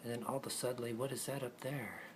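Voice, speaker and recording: A man's voice: medium pitch, fairly even intonation, quick pace, noisy background. A man improvising playful puppet show narration, chatting casually as if to the puppets, in an amateur recording.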